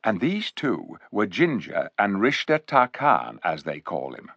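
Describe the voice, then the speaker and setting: Casting: a man playing a chatty loyal dwarf, folksy and rambling, lower voice, dull dry audiobook sound. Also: sing-song, measured pace, creaky, a bit bright, no echo, heavily acted. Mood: earnest. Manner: folksy, rambling storyteller's telling. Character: chatty loyal male dwarf, blunt and homely.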